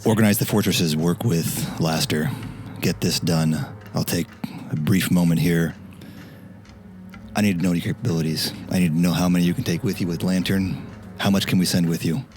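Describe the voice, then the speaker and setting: Man playing a deep, terse military commander. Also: steady tone, moderate pace, a little mellow, natural voice. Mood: commanding. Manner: terse, authoritative. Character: stern, commanding male military leader. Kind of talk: in-character tabletop roleplay.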